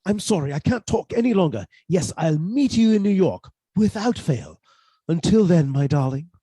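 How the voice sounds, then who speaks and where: A man's voice: fairly high pitch, very animated, moderate pace, warm tone, husky, dry recording. Man playing a meek, henpecked husband. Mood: furtive. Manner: hesitant, stammering, dutifully submissive. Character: meek henpecked British professor husband with quiet menace. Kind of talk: theatrical radio suspense acting.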